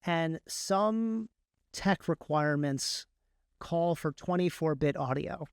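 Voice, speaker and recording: A man's voice: a little high, slow, creaky, clean tone, dry recording, clean studio sound. A man who works as an audiobook narrator, chatting casually and technically as a podcast guest in a rambling, enthusiastic way.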